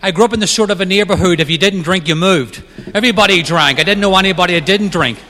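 A middle-aged man with a Northern Irish accent, telling his recovery story as main speaker at a meeting, quick and self-mocking. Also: high-pitched, bright, forceful tone, in a large hall, a little hiss or hum. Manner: fast-talking, self-mocking humor. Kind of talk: personal recovery talk.

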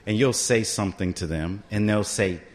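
Middle-aged man, lowish voice, casual storyteller speaking to a meeting, conversational and direct. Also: moderate pace. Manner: conversational, keeps asking "right?" to the room. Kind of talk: personal story told to a meeting.

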